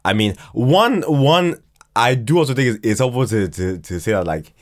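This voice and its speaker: A man chatting casually as a podcast guest, halting and often repeating words as he finds his way. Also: medium pitch, lively intonation. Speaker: podcast guest, fiction podcast creator. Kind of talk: casual chat.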